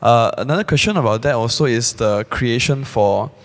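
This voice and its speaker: A man asks questions from the audience in an informal, apologetic style. His medium-pitched voice wanders from point to point, with the long reverb of a hall around it. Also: moderate pace. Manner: rambling, apologetic. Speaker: audience member asking questions. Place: indoor public hall.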